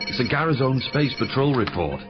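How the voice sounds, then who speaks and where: A man's voice: mid-range voice, animated, brisk, highs cut off, music in the background, lightly acted. An actor playing a weary spaceship crewman, speaking informally and offhand in a sci-fi audio drama. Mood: guarded, matter-of-fact. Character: casual, weary spaceship crewman, handy with computers.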